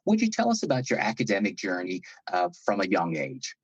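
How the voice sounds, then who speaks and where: A man hosting an education podcast, asking formal, polite questions in a measured way. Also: lowish voice, huge pitch swings, breathy edge, dry recording. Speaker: podcast host. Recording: dull lo-fi sound.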